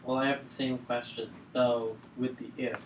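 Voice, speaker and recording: A man acting a careful, halting judge questioning a sick patient in a drama table read. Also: medium pitch, little pitch movement, natural voice. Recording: echoey room, distant mic, noisy background.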